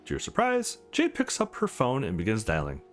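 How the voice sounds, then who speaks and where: Male let's play narrator, mid-pitched and very animated, reading story text casually over a music bed. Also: brisk. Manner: animated read-aloud with casual asides. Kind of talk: reading a visual novel aloud. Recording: music behind the voice.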